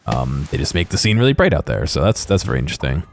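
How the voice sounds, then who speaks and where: A man giving casual, unscripted video game commentary in a hesitant, halting way. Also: extremely low pitch, sing-song, creaky, soft background music.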